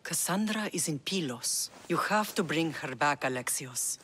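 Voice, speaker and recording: A woman's voice: low-pitched, expressive, moderate pace, a bit bright. A middle-aged Greek mother in a game cutscene. The delivery is solemn and yearning, with a pleading weight, over faint ambient noise. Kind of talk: video game cutscene acting.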